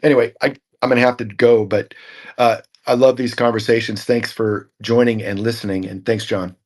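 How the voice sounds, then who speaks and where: A man's voice: fairly low pitch, flat delivery, a little fast, a little mellow. A man hosting a casual tech talk show, talking in a rambling way and often repeating words as he thinks. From Norwegian family background. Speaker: show host.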